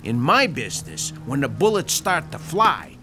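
An actor playing a gruff 1930s Chicago mob boss with a Chicago accent, swearing and barking orders. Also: male voice, medium pitch, wildly swooping pitch, normal speed, pushed and bright. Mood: sly hospitality. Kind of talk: audio drama acting. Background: music score.

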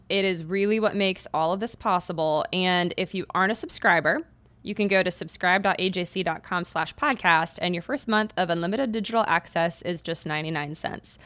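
Female podcast host and sports reporter, lowish pitch, conversational interviewer. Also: a bit bright, no room sound. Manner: conversational questions with restarts.

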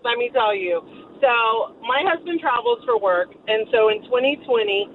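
A woman's voice: fairly high pitch, lively intonation, moderate pace. A woman calling a radio show and casually telling a personal story. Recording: narrow noisy phone line.

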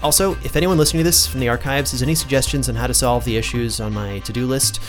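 An actor playing a tired, absent-minded archivist in a fantasy audio drama, muttering creakily to himself. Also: male voice, mid-range voice, steady tone, quick pace, over music, natural voice. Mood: musing, worried.

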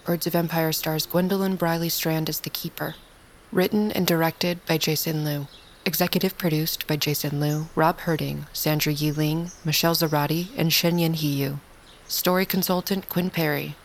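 Low-pitched woman reading scripted credits. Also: steady tone, moderate pace, slight background noise. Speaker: credits announcer.

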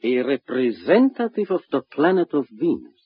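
A cold, intellectual alien invader in vintage radio style. His husky voice swoops with urbane scorn, every phrase precise and condescending. The old recording is dry and muffled. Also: mid-range voice, huge pitch swings, moderate pace, no room sound. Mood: coolly taunting. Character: smug, cold, intellectual Venusian invader posing as human. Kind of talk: 1950s radio drama acting.

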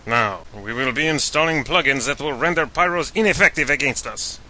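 A man's voice: medium pitch, fairly quick, pushed and bright. A man playing a haughty, pompous villainous spy from a video game in a scripted comedy skit, theatrical and scornful. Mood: imperious. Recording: noisy hum behind the voice.

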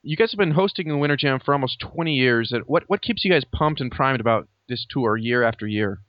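A man's voice: mid-range voice, expressive, brisk, dry recording, lo-fi sound. A man asking questions casually as a podcast interviewer.

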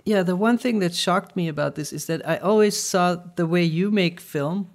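Man, higher-pitched, gravelly edge, halting, repeats words as he restarts, podcast co-host. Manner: halting, with frequent stuttered restarts. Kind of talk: casual podcast chat.

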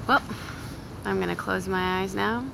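An actress playing a blunt, exhausted survivor in a naturalistic audio drama, casual and sweary. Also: female voice, medium pitch, fairly even intonation, measured pace. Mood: weary, frank. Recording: clear car noise behind the voice.

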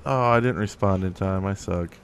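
A man's voice: low voice, moderate pace, smooth. A man talking casually as a co-host on a podcast. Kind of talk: casual chat.

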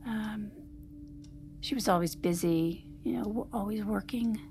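A woman's voice: mid-range voice, unhurried, darkish tone, slight background noise, lightly acted. An actress in an audio drama playing a guarded, evasive married woman who speaks hesitantly and deflects. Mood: wistful, guarded. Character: guarded, evasive married woman in therapy, curt when pushed. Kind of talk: naturalistic audio drama acting.

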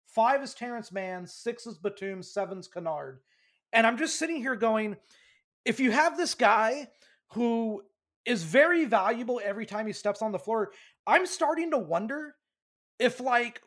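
High-voiced young man chatting sports. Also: fairly bright tone. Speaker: podcast co-host. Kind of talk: casual sports podcast chat.

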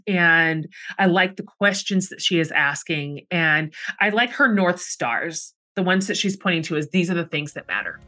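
A woman's voice: fairly low pitch, sharp tone. A woman in her thirties hosting a podcast, effusive and chatty, with frequent "like" and "kind of". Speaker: podcast host. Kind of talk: enthusiastic interview hosting.

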